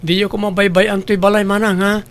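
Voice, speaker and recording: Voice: mid-range voice, little pitch movement, fast, lightly acted. A performer voicing a polite, grateful young man working abroad, in an audio drama. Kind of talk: Ilocano audio drama acting.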